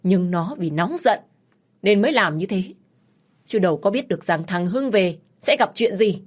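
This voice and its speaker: A woman's voice: medium pitch, lively intonation, moderate pace, a little mellow, husky. A woman voicing a fiery, protective mother in an audio story, indignant and scolding. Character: fiery, protective mother of a scorned young widow.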